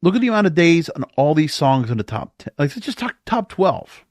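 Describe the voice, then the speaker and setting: A man's voice: on the high side, big pitch swings, a little fast, dry recording, clean studio sound. A man hosting a podcast, giving casual solo commentary in an emphatic way, full of rhetorical questions. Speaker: podcast host.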